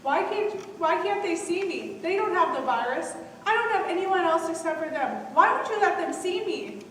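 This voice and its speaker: Woman acting sad lonely old grandma in a stage play, high voice, super echoey hall. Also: sing-song, moderate pace, a bit bright, full character voice. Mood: pleading. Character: lonely, heartbroken elderly great-grandmother in a care home. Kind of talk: stage monologue in a one-act play. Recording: echoey hall from far off, faint noise.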